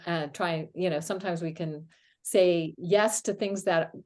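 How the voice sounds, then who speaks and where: Lower-voiced woman host, laughing easily. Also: steady tone, a little slow. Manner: warm and laughing, with many uh fillers. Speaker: astrology podcast host. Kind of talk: casual conversation.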